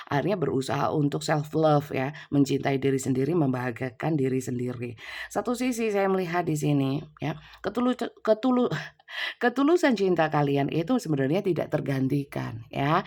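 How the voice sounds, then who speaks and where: Woman tarot reader with a cold, gravelly and chatty, phone-mic sound. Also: low-pitched, darkish tone. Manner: chatty, many fillers and tag "ya". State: has a cold. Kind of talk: casual general tarot reading. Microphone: phone's built-in mic, no external mic.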